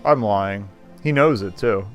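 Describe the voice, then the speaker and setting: Male streamer, lowish voice, casual commentary and read-aloud game narration over soft music. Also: unhurried, highs cut off. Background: faint game music.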